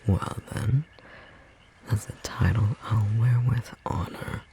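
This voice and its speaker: A man playing a gentle, romantic storm sorcerer in intimate ASMR roleplay, speaking tenderly in a soft, breathy, slightly rough voice. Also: lowish voice, soft background music. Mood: tender, playful. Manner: soft, slow, tender murmuring with pauses.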